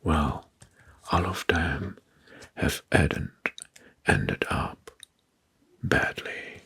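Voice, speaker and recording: A man narrating soft-spoken ASMR in a breathy, husky whisper, deliberately repeating names and phrases. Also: low voice. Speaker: host of an ASMR history channel.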